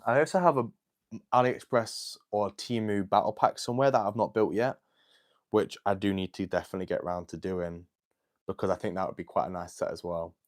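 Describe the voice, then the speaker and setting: A man's voice: deep voice, fairly even intonation, brisk. An eighteen-year-old man hosting a casual livestream, chatting and answering viewer comments with lots of "like" and "um". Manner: chatty and rambling, with many "like" and "um" fillers.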